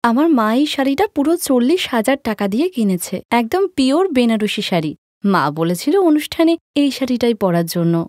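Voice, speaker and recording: A woman voicing a dutiful, gentle young daughter-in-law in a cartoon story, meek and respectful. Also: on the high side, animated, normal speed, no room sound, clean studio sound, lightly acted. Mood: proud, polite.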